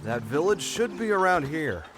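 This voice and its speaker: A young man playing an eager, friendly adventurer in a game cutscene, with a casual, excitable way of talking. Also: on the high side, moderate pace, music in the background. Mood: animated.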